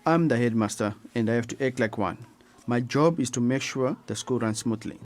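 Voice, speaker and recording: An actor playing a stern but sympathetic headmaster in a radio drama, with a formal, exasperated manner. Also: male voice, medium pitch, moderate pace, close to an everyday voice. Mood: measured, firm. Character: stern, rule-bound headteacher, sympathetic but unyielding.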